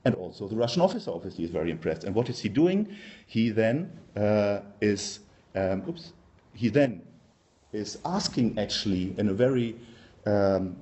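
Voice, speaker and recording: A man's voice: fairly low pitch, expressive, moderate pace, warm tone. A middle-aged man with a German accent giving an academic workshop presentation, hesitant and full of ums and uhs. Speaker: university lecturer.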